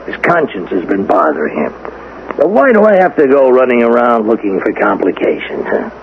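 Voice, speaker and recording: An actor playing a shrewd, suspicious police lieutenant in a radio drama, needling with drawn-out, insinuating questions. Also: male voice, medium pitch, sing-song, gravelly. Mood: wry, probing. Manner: drawling pauses, insinuating, folksy rhetorical questions. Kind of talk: 1970s radio drama acting. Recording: tinny vintage radio broadcast, faint noise.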